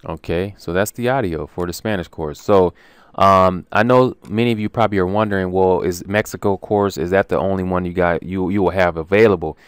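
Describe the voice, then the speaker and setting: A man's voice: sits low, a little fast, smooth, no room sound. A man casually walking viewers through his language course materials, informal and chatty with lots of ums and restarts. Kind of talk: casual screen-share walkthrough.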